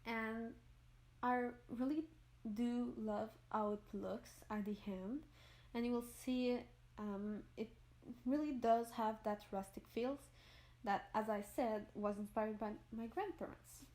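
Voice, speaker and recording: Chatty French Canadian woman, somewhat high voice. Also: steady tone, slow, a little mellow. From Montreal, Quebec. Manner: rambling, searches for words, laughs often. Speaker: gaming YouTuber. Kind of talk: voice-over for a game build video.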